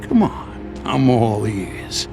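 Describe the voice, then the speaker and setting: A man playing an angry, world-weary old mafia boss with a gravelly voice in a video game cutscene. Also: lowish voice, very animated, extremely slow, full character voice. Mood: exasperated anger. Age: older. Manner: exasperated, streetwise gangster talk. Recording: loud music under the voice.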